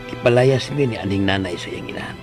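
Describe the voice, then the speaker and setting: An actor playing a flustered, wistful middle-aged father in a radio drama, with a Visayan Philippine accent and an expressive delivery. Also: fairly low pitch, fast talker, lightly acted. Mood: wistful, confessing. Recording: radio drama with music underneath.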